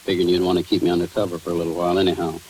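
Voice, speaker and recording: A man's voice: low-pitched, level tone, racing, a little mellow, lightly acted. An actor playing a crooked, rueful American cop who talks in tough-guy slang, in 1940s radio drama style. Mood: guarded, uneasy. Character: crooked cop confessing murder to his boss, rueful, slangy. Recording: noisy, hissy 1940s radio recording.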